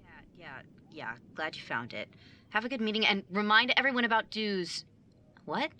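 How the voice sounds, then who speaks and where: A woman playing a driven, overachieving young student and younger sibling in an audio drama, brisk, businesslike and easily exasperated. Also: mid-range voice, big pitch swings, bright, forceful tone. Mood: hurried.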